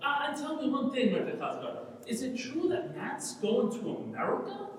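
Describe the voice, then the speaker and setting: A man's voice: very high-pitched, big pitch swings, moderate pace, a little hiss or hum. A man playing an eager, idealistic young Irish countryman given to speechifying, in a dramatic stage reading. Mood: earnest, surprised. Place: large reverberant indoor hall, from far off. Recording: very echoey hall, distant mic.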